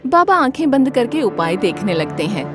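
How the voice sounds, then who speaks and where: Female narrator, scripted animal fable, high and lively, music bed. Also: moderate pace. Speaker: storyteller.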